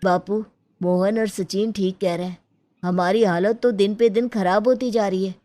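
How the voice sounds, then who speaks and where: A woman's voice: mid-range voice, steady tone, normal speed, dark tone, lightly acted. A woman voicing a troubled, apologetic village husband in a cartoon story, pleading earnestly. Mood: regretful, urging. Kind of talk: cartoon moral story dialogue.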